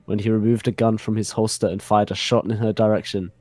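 A man's voice: lowish voice, flat delivery, fast talker, loud music behind the voice. A man narrating a comic dramatic reading of fan fiction with mock solemnity.

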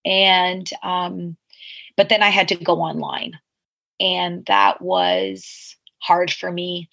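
Husky low-voiced woman in her forties. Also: slow, a bit bright, dull audio. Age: 40s. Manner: reflective, rambling with asides and fillers. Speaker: podcast guest. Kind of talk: candid conversational storytelling.

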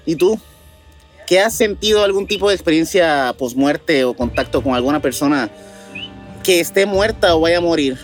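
A man's voice: on the high side, lively intonation, normal speed, faint music behind. A man co-hosting a paranormal news show, reading items aloud and then reflecting casually in hesitant, searching phrases.

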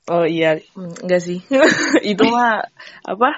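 A young woman from Sumatra hosting a casual podcast chat, playful and quick to joke and laugh. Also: on the low side, muffled recording, a crowd in the background. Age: young adult. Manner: playful, joking, laughs often. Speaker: podcast host.

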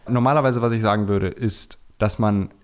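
Man, lowish voice, candid podcast guest, casual self-critical storytelling. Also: fairly even intonation, normal speed, darkish tone. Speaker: podcast guest, video creator. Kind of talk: casual chat, personal anecdotes.

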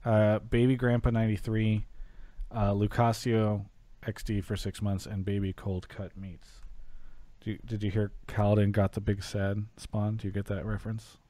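A man's voice: lowish voice, level tone, normal speed, warm tone, no echo. A man hosting an esports call-in show in a casual, chatty style.

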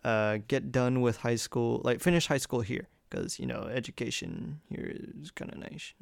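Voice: mid-range voice, expressive, normal speed, creaky, no room sound, clean studio sound. A speaker in their early twenties in casual chat, informal and prone to swearing.

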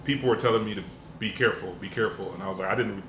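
A man in his forties, born in Jamaica and raised in Miami, speaks in a very low voice. He tells his story in an earnest, reflective way. The room is echoey, with clear background noise. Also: very fast, dull audio. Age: 40s. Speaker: podcast guest. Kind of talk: casual conversational podcast.

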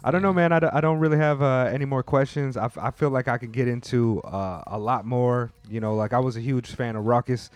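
A man's voice: mid-range voice, fairly even intonation, fairly quick, hardly any pauses, no room sound. A man hosting a podcast, talking in a loose, slangy, joking way. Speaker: podcast host. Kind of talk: casual interview chat.

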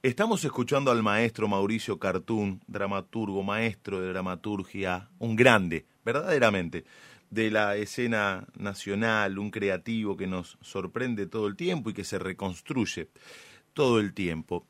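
A man with an Argentine accent hosting a radio interview in formal, admiring, deliberately phrased speech. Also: lowish voice, a bit bright, dry recording. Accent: Argentine Spanish.

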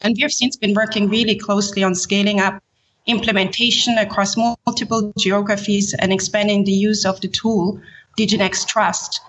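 A woman from Haiti who grew up in Switzerland, explaining her work as a podcast guest in measured, formal, corporate phrasing. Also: medium pitch, flat delivery, a bit bright, highs cut off. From born in Haiti, grew up in Switzerland. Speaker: podcast guest, ESG executive. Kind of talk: explanatory interview talk in professional terms.

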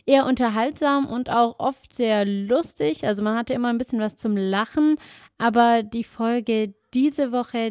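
A woman's voice: a little high, a little mellow, dry recording, studio quality. A young woman with a German accent hosting a podcast in informal, colloquial language, introducing and interviewing.

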